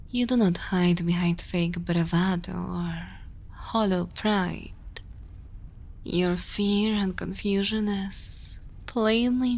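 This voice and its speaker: Very slow, soft-spoken evil queen played by a woman. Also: medium pitch. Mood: condescending, fond. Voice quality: soft, breathy, often whispered. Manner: slow, deliberate, commanding, intimate. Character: dominant evil queen, possessive yet motherly, coldly tender. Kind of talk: ASMR roleplay. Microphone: close ASMR microphone.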